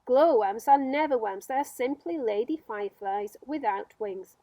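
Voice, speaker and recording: A woman voicing a bossy, impatient male centipede in a children's storybook, with loud, emphatic demands. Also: on the high side, normal speed, no room sound, lightly acted. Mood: scornful.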